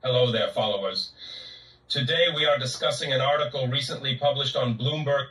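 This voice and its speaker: A man's voice: mid-range voice, fairly quick, sharp tone. An AI-generated man's voice reading short auto news clips with stiff announcer greetings, heard played back over speakers. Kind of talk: scripted news-clip narration. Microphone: played through speakers, picked up by room mic. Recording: echoey playback heard from far off.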